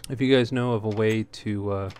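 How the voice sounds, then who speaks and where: Low-voiced man doing casual game commentary. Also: fairly even intonation, moderate pace, darkish tone. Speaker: let's play host. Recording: clearly noisy home recording.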